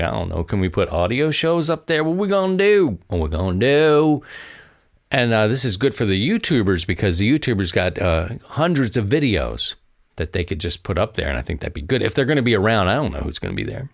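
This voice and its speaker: Man, low-pitched voice, podcast host, casual solo talk, rambling and conversational. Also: dry recording, studio quality. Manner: rambling, conversational, addresses listeners as friends.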